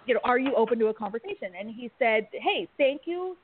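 A woman's voice: fairly high pitch, fairly quick, a little hiss or hum. A woman from Kansas, a tech recruiter, talking casually and animatedly as a guest on a podcast. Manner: animated, chatty, laughs easily. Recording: tinny phone-like line.

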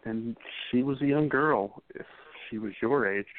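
Gentle 1920s sweetheart on a tinny line, acted by a man. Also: mid-range voice, a little slow, close to an everyday voice. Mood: earnest. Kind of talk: radio play acting. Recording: tinny phone-like sound.

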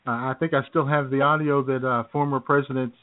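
A man with a medium-pitched voice co-hosting a comedy radio show. He chats in an easygoing, slightly halting way. The audio is thin, like a phone line. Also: steady tone, dry recording. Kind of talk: casual comic radio banter. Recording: narrow, phone-like radio sound.

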